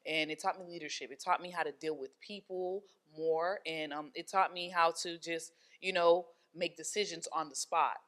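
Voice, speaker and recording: A woman's voice: on the low side, fairly even intonation, moderate pace, hardly any pauses, fairly bright tone. A young adult woman from Haiti, a hair studio owner, talking casually in an interview with many fillers and restarts.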